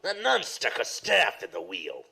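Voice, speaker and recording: A man playing a gruff, thuggish henchman with rough, slangy speech in an audio drama. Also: mid-range voice, wildly swooping pitch, measured pace, bright, forceful tone, heavily acted. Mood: gruff, threatening.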